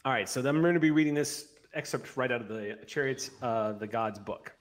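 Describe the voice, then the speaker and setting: A middle-aged man with an average-pitched voice narrates a tabletop roleplay game as its game master. He speaks close to the mic and now and then corrects himself as he reads. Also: steady tone, a little fast. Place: indoor room, on a group video call. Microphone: microphone on an arm, close to mouth.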